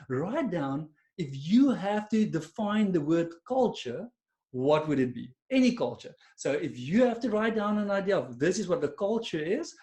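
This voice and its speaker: A man's voice: fairly high pitch, warm tone. A man with an Afrikaans South African accent presenting a webinar on a video call, chatty and self-deprecating, with many fillers and restarts. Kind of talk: online webinar talk to a silent audience.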